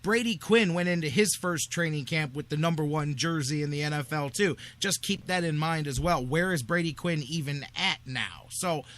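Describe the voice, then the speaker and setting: An American man hosting sports talk, making blunt, opinionated points in a colloquial, forceful style. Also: on the high side, normal speed, few pauses. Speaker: sports talk radio host.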